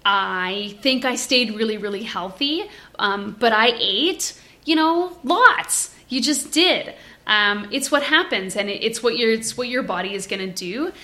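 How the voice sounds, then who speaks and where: Frank woman guest, higher pitch, bright tone, echoey room. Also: expressive, measured pace, few pauses. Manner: frank, swears, laughs mid-sentence. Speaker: guest, performer. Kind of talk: casual interview.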